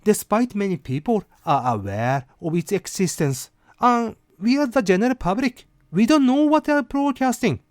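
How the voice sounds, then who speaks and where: A man with a fairly high voice hosts a conversational solo commentary. His pitch moves around a lot as he drops rhetorical asides to the listener. The sound is dry and close. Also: very animated, moderate pace, few pauses, darkish tone, dry recording. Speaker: host of a weekly rant video show. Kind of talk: informal monologue commentary.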